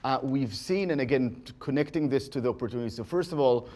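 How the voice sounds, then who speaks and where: A man speaks as a company executive on an earnings call, with a medium-pitched voice. His phrasing is halting as he lays out his market commentary. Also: a little mellow.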